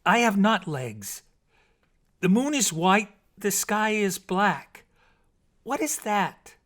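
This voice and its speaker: A man voicing a young mermaid from a storybook, cheerful and impatient, puzzled by the land and speaking in halting, emphatic simple phrases. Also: high voice, expressive, fairly bright tone, pitched above the performer's own voice, full character voice.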